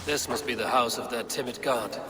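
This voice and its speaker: A man voicing a stern, self-assured Viking warrior leader in a video game, speaking in a measured, grave way. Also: medium pitch, background noise, natural voice. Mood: brooding.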